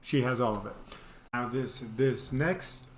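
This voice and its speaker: Male storytelling host, casual and comedic, rambling but animated delivery, roomy echoey recording. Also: medium pitch, measured pace, a little hiss or hum. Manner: animated, rambling, mimics people in exaggerated voices.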